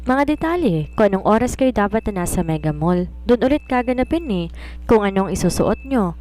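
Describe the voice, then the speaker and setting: A woman's voice: medium pitch, animated, brisk, mellow, slight background noise, lightly acted. A woman storyteller voicing a chatty, fretful and inquisitive young female secretary in an eager, complaining manner.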